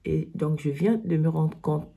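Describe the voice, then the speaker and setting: A woman with a cold, hoarse, slowly explaining knitting stitches step by step in a tutorial. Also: sits low, dark tone. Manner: slow, step-by-step instructions.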